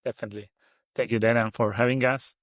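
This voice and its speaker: A man from Argentina with an Argentine Spanish accent, a native Spanish speaker, giving earnest interview answers in slightly halting English. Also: mid-range voice, steady tone, no room sound. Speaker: agency founder, guest.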